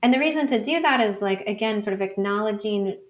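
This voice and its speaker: A woman's voice: mid-range voice, fast talker. Thoughtful woman explaining ideas informally. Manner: thoughtful, informal, hedging with frequent "like" and "sort of". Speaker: podcast guest, author and academic. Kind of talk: conversational interview explanation.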